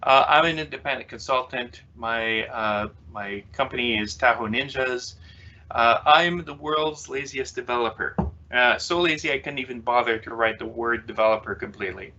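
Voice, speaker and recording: A man's voice: mid-range voice, normal speed, sharp tone. Chatty French Canadian man presenting online. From Quebec City, Canada. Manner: chatty, many "uh" fillers, self-mocking humor. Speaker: conference speaker, developer consultant. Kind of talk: self-deprecating tech presentation. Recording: slightly dull lo-fi webcast audio.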